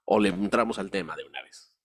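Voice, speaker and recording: A man's voice: low-pitched, fast talker. A Mexican man moderating a casual podcast panel, reflective and steering the talk between topics.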